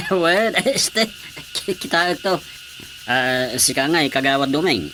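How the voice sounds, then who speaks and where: A man's voice: fairly high pitch, lively intonation, takes it slowly, pushed and bright, a little hiss or hum, heavily acted. A man with an Ilocano accent playing a hesitant, evasive village officer in a radio comedy, stammering as he goes. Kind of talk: Filipino radio comedy drama acting.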